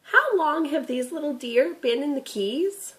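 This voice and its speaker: A woman's voice: sits high, expressive, unhurried, fairly bright tone, voice pushed up. A woman voicing a curious, eager young boy in a children's storybook reading. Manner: eager, inquisitive.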